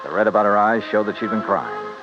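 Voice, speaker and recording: A man playing a tough, brisk insurance investigator in a 1950s radio detective drama, clipped and probing. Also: lowish voice, sing-song, creaky. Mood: hardboiled, narrating. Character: tough, brisk male insurance investigator narrating his case. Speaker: detective lead and narrator. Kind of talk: 1950s radio detective acting, hardboiled narration. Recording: muffled old radio broadcast with music.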